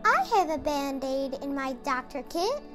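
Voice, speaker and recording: A woman's voice: high voice, big pitch swings, measured pace, over music. A woman voicing a confident, plain-spoken little girl in a storybook. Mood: assured. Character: matter-of-fact, confident little girl in a picture book.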